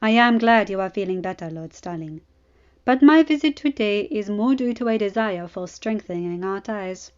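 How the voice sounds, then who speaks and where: A woman voicing a courteous, smirking prince in audiobook dialogue, speaking in a courtly, deliberate way. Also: mid-range voice, expressive, smooth, muffled recording, natural voice. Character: smooth, smirking, courteous Dornish prince, male.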